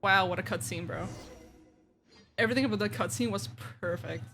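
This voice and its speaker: A woman game streamer giving casual, excited commentary in a slangy, gushing, reactive way. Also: mid-range voice, fairly quick. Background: game music.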